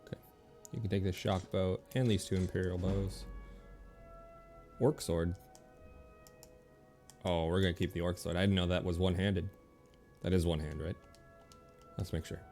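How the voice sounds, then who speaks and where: A man with a low, mellow voice talks through a video game as he plays. He rambles and thinks aloud in a casual way, with some gravel in the tone and faint game music behind him. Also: fairly even intonation, normal speed, long pauses. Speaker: game streamer. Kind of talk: casual gameplay commentary.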